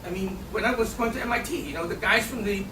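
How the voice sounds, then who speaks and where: High-voiced man. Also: quick pace. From Hong Kong. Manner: punctuates nearly every phrase with a questioning "okay?". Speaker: student election candidate. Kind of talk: campaign debate speech. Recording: echoey, distant, noisy room recording.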